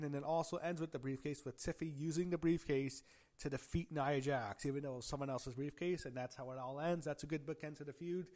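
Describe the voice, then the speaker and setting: A man fighting off a cold gives a loose, rambling recap in a voice that sounds a little off. He corrects himself often and fills gaps with "uh". He stops now and then to gasp or clear his throat. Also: on the high side. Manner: rambling, frequent self-corrections and fillers; gasping and clearing throat at times. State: under the weather, voice a bit off. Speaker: wrestling show reviewer. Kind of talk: casual show recap.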